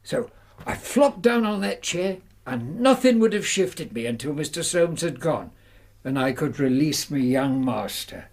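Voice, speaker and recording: Bannister, high-voiced old English servant. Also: male voice, big pitch swings, measured pace, darkish tone, exaggerated voice. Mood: confessing, emotional. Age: older. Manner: deferential, earnest, pleading. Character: loyal, emotional elderly college servant, former butler. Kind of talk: British radio drama acting.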